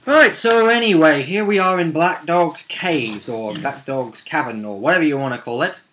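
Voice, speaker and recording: A man's voice: a little high, moderate pace, a bit bright, soft background music. Man, casual rambling storyteller. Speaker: tabletop game player recapping a session.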